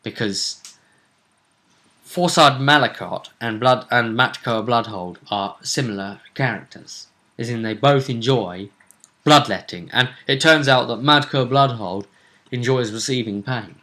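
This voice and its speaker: A man's voice: medium pitch, unhurried, pushed and bright. A man casually recapping a fantasy role-play tournament as host, often hesitating and repeating phrases as he recounts it.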